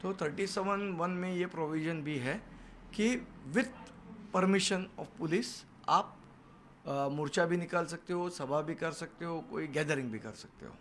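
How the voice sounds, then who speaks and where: Male party spokesman, higher-set voice. Assertive news-interview answers, light ambient noise. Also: measured pace, fairly bright tone. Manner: assertive, defiant, emphatic repetition. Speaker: political party leader and spokesman. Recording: noisy location sound, background ambience.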